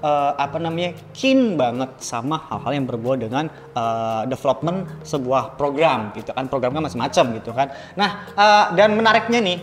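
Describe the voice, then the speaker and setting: A man hosting a casual podcast interview in a playful, animated, slangy and joking manner. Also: mid-range voice, normal speed, long reverb tail. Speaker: podcast host.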